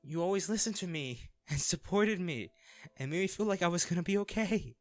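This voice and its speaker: A man acting in an audio roleplay as an anxious, self-deprecating young man, a caring old crush who talks haltingly and intimately to the listener. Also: high voice, animated, dry recording, soft background music. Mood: upset, emotional. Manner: hesitant, halting, sighing.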